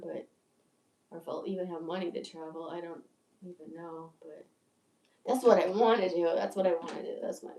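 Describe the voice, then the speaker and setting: Give me a low, dark-toned woman of sixty rambling and swearing freely, in a roomy, echoing space. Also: vocal fry. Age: 60s. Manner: stammering restarts, profane, candid. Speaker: video creator. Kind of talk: rambling livestream monologue. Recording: echoey room, distant from mic.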